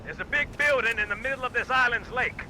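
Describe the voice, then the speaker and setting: High-pitched cocky marine sergeant. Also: male voice, quick pace, background noise. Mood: rousing. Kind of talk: video game cutscene acting.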